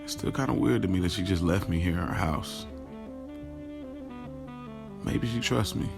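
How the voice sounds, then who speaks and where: A man's voice: low-pitched, normal speed, creaky, music in the background. A man playing a smitten, boastful yet self-questioning guy in an audio drama, telling his story casually and in slang, straight to the listener. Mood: relaxed, wondering. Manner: casual, slangy, confiding, addresses listeners directly. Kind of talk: first-person audio drama monologue, conversational storytelling.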